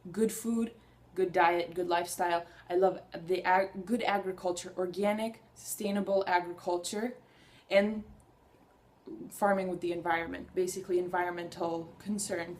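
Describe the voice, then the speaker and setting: A woman's voice: fairly low pitch, steady tone, moderate pace, a little mellow. A young woman talking to camera in a casual personal vlog, earnest and enthusiastic, rambling a little and restarting her sentences. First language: Hungarian. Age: young adult. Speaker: vlogger.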